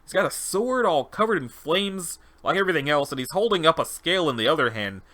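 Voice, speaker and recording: A man's voice: a little high, animated, brisk, bright, forceful tone, pitched above the performer's own voice. A man voicing an agitated male convict test subject unraveling into madness, bewildered and stammering, in a dramatized interview log. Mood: frantic, insistent.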